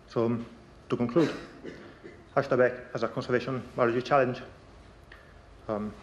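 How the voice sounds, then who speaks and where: A man presenting a scientific conference talk in a lecture hall, measured and pausing often with ums. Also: mid-range voice, fairly even intonation, lo-fi sound, slight background noise. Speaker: conference speaker.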